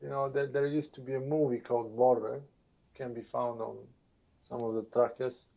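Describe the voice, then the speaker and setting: A man's voice: mid-range voice, little pitch movement, vocal fry. A man from Bulgaria with a Bulgarian accent, co-hosting a casual podcast. He jokes dryly, swears bluntly and often restarts his sentences. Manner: dry joking, blunt and swearing, halting restarts. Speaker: podcast co-host. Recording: dull lo-fi sound.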